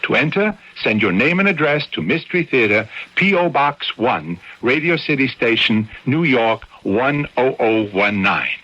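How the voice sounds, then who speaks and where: A male announcer reads a scripted radio promotion. His tone is bright and forceful with animated pitch, and the recording is slightly dull. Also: a little slow, hardly any pauses.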